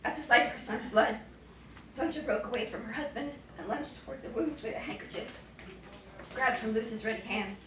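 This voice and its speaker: A young woman, an author giving an expressive reading of her novel at a bookstore event. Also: fairly high pitch, normal speed. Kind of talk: expressive public book reading. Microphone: boom-stand mic at lectern, distant camera. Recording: roomy, distant, noisy bookstore recording.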